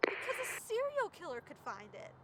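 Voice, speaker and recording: A young woman with a high voice, anxious and hopeful. She rambles and stammers her way along with wry self-deprecation, in the natural style of a web comedy. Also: wildly swooping pitch, brisk, noisy background, heavily acted. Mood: nervous, hopeful. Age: young adult.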